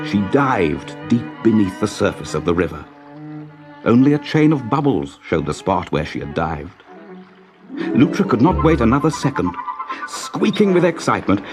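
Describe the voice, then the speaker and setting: A man with a fairly low voice reads a children's story aloud. His delivery is dramatic and measured, with very animated rises and falls in pitch. Music plays clearly behind him. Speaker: storybook narrator. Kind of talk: expressive children's story narration.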